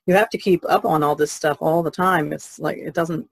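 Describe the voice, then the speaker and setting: A woman's voice: sits low, steady tone, normal speed, gravelly, husky. A middle-aged or older American woman talking conversationally as a podcast co-host.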